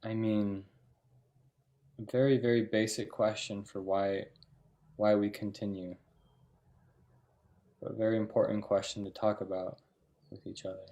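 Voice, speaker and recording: A man with a fairly low voice moderates a thoughtful interview. He builds his questions in reflective, halting pieces. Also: little pitch movement, mellow. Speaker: discussion moderator. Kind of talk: thoughtful post-show interview.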